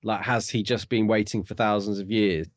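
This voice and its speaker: A man's voice: fairly low pitch, fairly even intonation, moderate pace, no echo, clean studio sound. A man co-hosting a podcast, musing and thinking aloud in a casual discussion of books. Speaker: podcast co-host.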